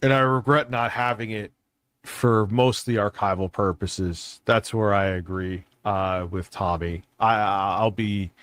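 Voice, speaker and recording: A man's voice: on the low side, fairly even intonation, measured pace, a bit bright, smooth, no room sound. A man talking casually off the cuff as an online creator, blunt, candid and prone to swearing. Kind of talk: casual unscripted monologue.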